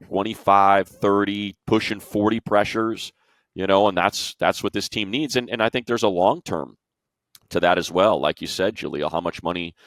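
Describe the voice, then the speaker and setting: A man with a very low voice, recorded close and dry. He talks sports casually and informally as a commentator, letting his analysis run on in long conversational stretches. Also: fairly bright tone, no room sound. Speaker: sports radio commentator and show panelist.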